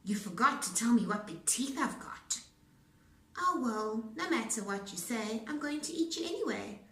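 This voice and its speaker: A woman's voice: fairly high pitch, lively intonation, moderate pace, fairly bright tone. A woman voicing a sly, greedy, boastful male wolf, gloating and menacing as in a storybook read-aloud. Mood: sly, hungry. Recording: roomy echo, distant mic.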